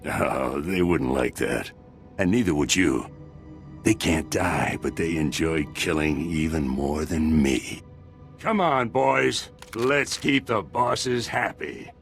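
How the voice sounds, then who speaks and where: An actor playing a ruthless pirate thug in a video game, gravelly and mocking, amused by killing. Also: male voice, rumbling low, animated, takes it slowly, exaggerated voice. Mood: gloating threat. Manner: menacing, drawling, mocking. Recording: music behind the voice.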